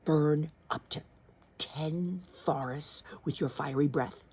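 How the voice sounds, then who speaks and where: A woman voicing a bold, clever young princess who flatters sweetly and slyly, with a very husky voice. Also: low-pitched, slow, exaggerated voice. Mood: flattering.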